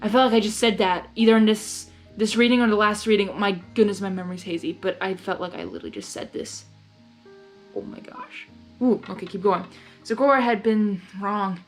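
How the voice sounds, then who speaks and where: A woman reading fan fiction aloud with expressive narration and enthusiastic, chatty asides. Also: medium pitch, fairly quick, faint music behind. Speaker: fanfiction reader.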